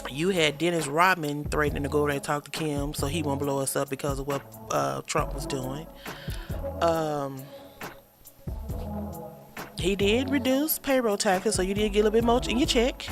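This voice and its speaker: A woman's voice: sits low, fairly even intonation, moderate pace, over music. A woman co-hosting a podcast with her husband, talking in casual political chat, listing points wryly with sarcasm and frequent fillers. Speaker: podcast co-host.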